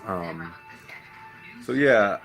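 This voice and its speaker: A young man vlogging in a casual, low-energy, nearly monotone way, with many ums and restarts. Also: very slow, creaky, faint music behind. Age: young adult. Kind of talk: casual vlog talk to camera.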